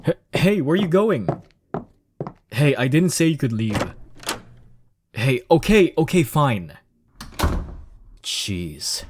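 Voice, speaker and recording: Man acting as slow-talking, cocky young romantic rival. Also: medium pitch, sing-song, background noise. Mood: flustered pleading. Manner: teasing, chuckling, sighing, stammering when flustered. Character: cocky, teasing young man flustered by his crush. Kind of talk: intimate romantic audio roleplay.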